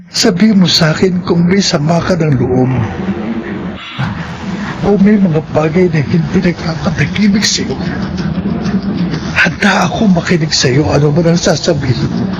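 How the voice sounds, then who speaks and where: Mournful guilt-stricken man, high pitch, slow pleading delivery, radio melodrama, faint noise. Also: muffled recording. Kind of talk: Filipino radio drama acting.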